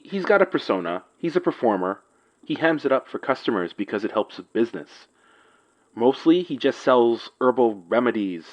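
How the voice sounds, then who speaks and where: A man's voice: lowish voice, lo-fi sound, lightly acted. A man playing a wry, level-headed detective partner in an audio drama, casual and natural. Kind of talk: audio fiction podcast acting.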